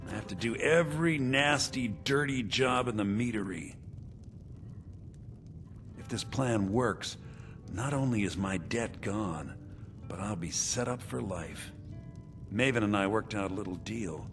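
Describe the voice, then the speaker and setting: An actor playing a bitter, scheming conspirator in a video game, talking in a sly, confiding way. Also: male voice, lowish voice, lively intonation, a little slow, long pauses, fairly bright tone. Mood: resentful, then smug, scheming. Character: bitter, scheming man, conspirator in a mead-poisoning plot. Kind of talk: video game character dialogue. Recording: game music behind the voice. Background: game music.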